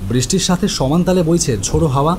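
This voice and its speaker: A man's voice: a little high, rapid-fire, natural voice. Man playing exasperated husband, faint background noise. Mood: resigned. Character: exasperated middle-class husband running a trucking business, narrating his story. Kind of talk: Bengali animated horror story acting and narration.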